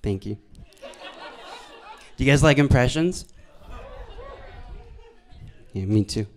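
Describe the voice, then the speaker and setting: A young man from Alberta, doing stand-up storytelling on a stage microphone in a deadpan, halting, self-deprecating way. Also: mid-range voice, some chatter behind. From Alberta, Canada. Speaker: stand-up comedian. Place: live venue stage before an audience.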